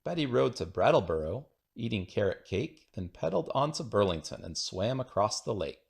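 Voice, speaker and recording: A man's voice: lowish voice, sing-song, moderate pace, vocal fry. A man reading children's rhyming poems aloud in a playful, singsong, rhythmic way. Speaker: children's book reader.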